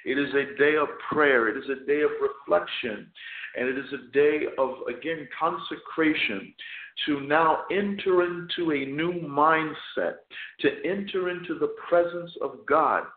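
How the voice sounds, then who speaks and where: A man serving as chaplain and radio host, preaching slowly and deliberately with many fillers, hoarse and losing his voice. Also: a little high. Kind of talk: radio sermon with scripture reading. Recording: tinny phone line, echoey.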